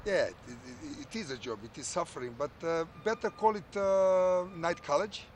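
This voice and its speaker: A man with a Serbian accent speaks slightly high for a man, halting and hunting for words in his second language. He sounds like a patient mentor guiding someone. A faint hum of noise sits behind him. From former Yugoslavia. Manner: halting, searching for words, full of fillers. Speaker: mentor and judo teacher. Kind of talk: counseling conversation.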